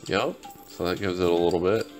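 A man's voice: low voice, fairly even intonation, fairly quick, darkish tone, soft background music. A tired, laid-back man giving casual, rambling gameplay commentary to his viewers as host of a gaming video. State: tired, just woke up.

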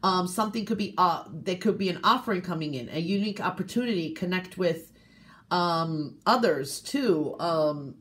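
A woman giving a weekly coffee cup reading in a gentle, casual way, often checking in with "okay?". She is congested from a cold and sniffles. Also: on the low side, moderate pace. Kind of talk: casual tarot-style reading to camera.

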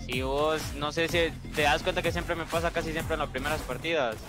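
A man's voice: on the high side, fairly even intonation, a little fast, over music. A man in a gaming voice chat, teasing and joking with his friends.

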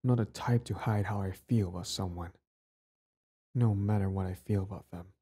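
A man's voice: lowish voice, normal speed, mellow, slightly rough. A young man acting a possessive, lovestruck bully in an intimate boyfriend audio roleplay, speaking earnestly with hesitant stammers. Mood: tender, confessing.

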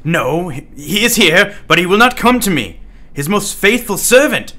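A man's voice: sits high, very animated, moderate pace, sharp tone, heavily acted. An actor playing a desperate, ranting asylum patient devoted to his master in a radio drama. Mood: frantic pleading. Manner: pleading, ranting.

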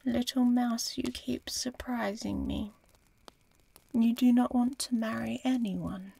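A woman voicing an aloof, curious, teasing female dragon in a fantasy ASMR roleplay, breathy and often whispering, slow and musing. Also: a little high, steady tone, dark tone, no echo, heavily acted, poor audio. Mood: curious, amused. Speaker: audio roleplay performer.

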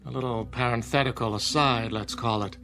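An actor playing an old small-town doctor in a radio drama, folksy, wry and measured. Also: male voice, medium pitch, fairly even intonation, bright, forceful tone, slight background noise. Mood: thoughtful, intrigued.

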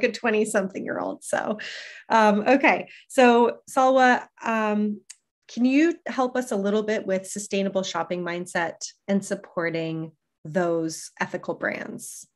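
A woman in her thirties moderating an online panel discussion in a casual, conversational way over a video call. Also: medium pitch. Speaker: panel host. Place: indoor room on a video call.

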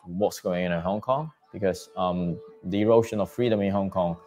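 Deep male activist in a clip, slow and deliberate, music bed. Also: darkish tone.

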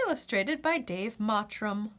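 A woman narrating a children's storybook aloud with expressive, deliberate phrasing. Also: medium pitch.

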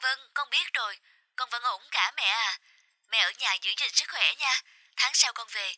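High-pitched, earnest young son. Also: female voice, normal speed, pushed and bright, dry recording. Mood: apologetic, reassuring. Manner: earnest, apologetic. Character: caring young adult son living far from home. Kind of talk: animated moral tale voice acting.

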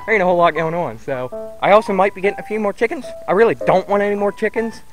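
A man's voice: high-pitched, fast talker, music in the background. A man, giving a rambling, folksy walk-and-talk tour of his backyard farm on a camera mic, outdoors. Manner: rambling, folksy, thinks aloud. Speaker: homesteading vlogger. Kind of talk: casual walk-and-talk vlog.

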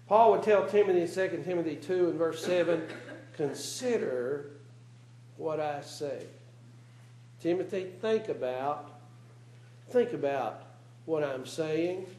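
A man's voice: on the high side, mellow. A man preaching a sermon in a church, speaking earnestly and asking his congregation rhetorical questions. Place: church meeting room. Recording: roomy church echo.

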